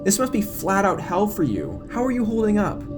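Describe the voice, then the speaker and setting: A man's voice: high voice, very animated, normal speed, over music, voice pushed up. A man voicing a young sorority woman in an audio drama, sugary, condescending and falsely sympathetic. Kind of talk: audio drama character acting.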